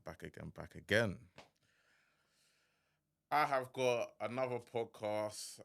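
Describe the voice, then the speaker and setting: A man hosting a casual podcast, talking in a relaxed, slang-heavy way. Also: on the low side, creaky, clear, resonant tone. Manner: laid-back slang-heavy chat, hype intro. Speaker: podcast host.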